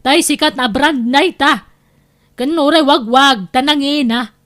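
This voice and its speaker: A woman's voice: high-pitched, measured pace, a bit bright. A woman with an Ilocano accent playing a bossy, boastful aunt who scolds in an audio drama. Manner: scolding, insistent. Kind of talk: Filipino audio drama acting.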